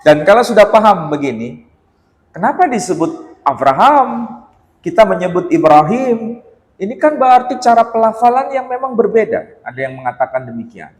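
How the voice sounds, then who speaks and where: An Indonesian man giving a religious lecture in a mosque, animated and teasing, with rhetorical questions. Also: high-pitched. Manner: chants Quran verses in a slow melodic recitation. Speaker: Islamic lecturer. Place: mosque hall. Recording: echoey mosque hall.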